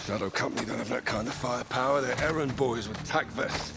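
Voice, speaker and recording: An actor playing a blunt veteran army captain in a video game cutscene, giving terse military orders over a music score. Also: male voice, mid-range voice, brisk, gravelly, close to an everyday voice. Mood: guarded. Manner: terse military radio talk. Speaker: squad captain.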